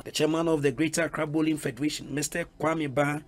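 A man reading a scripted news report in a measured, even way. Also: on the high side, a little hiss or hum.

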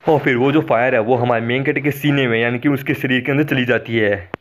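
Excited, chatty young man narrating. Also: medium pitch, fast, slight background noise. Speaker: anime recap narrator. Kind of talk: casual explainer narration.

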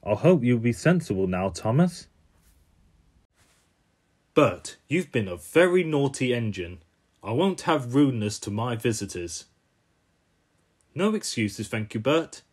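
Man playing a stern, slow-spoken railway director. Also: medium pitch, sing-song, a little mellow, husky. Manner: formal, authoritative. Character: stern, dignified railway director in a children's cartoon.

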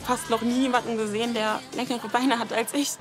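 A woman's voice: fairly high pitch, flat delivery, brisk. A woman of twenty, a model contestant, speaking earnestly and openly about her life in a casting interview. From Germany. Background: music.

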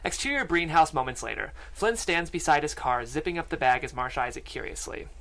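A man's voice: on the high side, lively intonation, fairly quick, gravelly, bright, forceful tone. A man reading stage directions as narrator at a scripted table read, on a close studio microphone. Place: small podcast studio.

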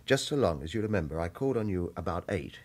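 A low-pitched British man, a wry retired assassin in a radio crime drama. His delivery swings widely in pitch, yet stays understated and world-weary. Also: very animated, fast, a little mellow. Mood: wry, guarded. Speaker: lead actor and first-person narrator.

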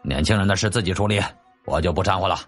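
A man's voice: sits low, fast, faint music behind, pitched below the performer's own voice. A man voicing a blunt, grumbling older warrior uncle in a historical audiobook, greedy and fond of drink. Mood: dismissive.

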